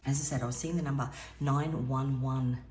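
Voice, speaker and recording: A woman with a raspy voice giving an earnest, meandering spiritual card reading at home as an intuitive tarot reader. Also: rumbling low, steady tone, normal speed, dark tone. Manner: meandering, earnest, with frequent restarts. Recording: echoey room with faint background noise.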